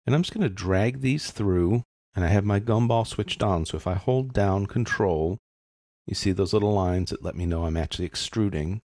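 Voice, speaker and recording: A man narrating a software tutorial in a casual, methodical way, explaining each step as he goes. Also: lowish voice, moderate pace, no room sound, studio quality. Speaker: software instructor.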